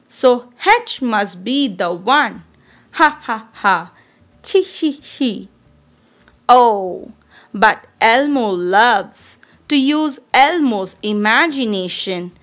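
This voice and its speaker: A woman reading a children's storybook aloud in an expressive, deliberate, child-directed way. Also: on the high side. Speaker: storybook reader.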